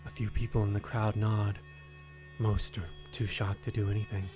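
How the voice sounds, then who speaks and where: A man delivering scripted dramatic narration for an audio drama in a grave, deliberate way with suspenseful phrasing. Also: on the low side, level tone. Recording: narration over prominent music.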